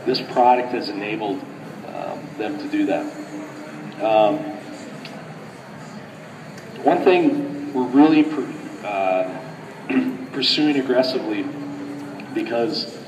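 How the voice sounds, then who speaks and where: A man with a slightly raspy voice from a cold explains a product in a halting way, full of pauses and restarts. He speaks at an ordinary pitch for a man and sits far from the mic. A long, echoey hall and loud crowd noise surround him. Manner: halting, many fillers and restarts. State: getting over a cold, a little raspy. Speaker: company product spokesman. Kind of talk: informal product presentation. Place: large indoor convention center show floor.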